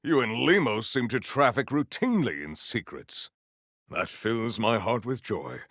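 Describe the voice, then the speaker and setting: Proud gravelly warrior, slow and grandiose. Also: male voice, mid-range voice, big pitch swings, fairly bright tone, no room sound, clean studio sound, full character voice. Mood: solemn, formal. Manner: formal, grandiose, theatrical declarations. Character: proud, stern warrior man, formal and grandiose battle hero. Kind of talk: video game character voice lines.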